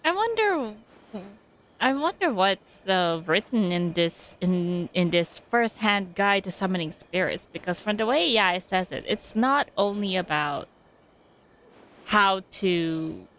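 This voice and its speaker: A woman's voice: medium pitch, expressive, takes it slowly, fairly bright tone. A woman giving casual gameplay commentary, hesitant and often repeating her phrases as she thinks aloud. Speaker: game streamer.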